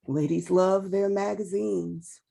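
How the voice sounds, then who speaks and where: Creaky, unhurried woman reading a middle-aged busboy. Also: medium pitch, steady tone, mellow, exaggerated voice. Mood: reserved, polite. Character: reserved middle-aged male busboy, polite, plainspoken. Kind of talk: amateur table read of TV drama.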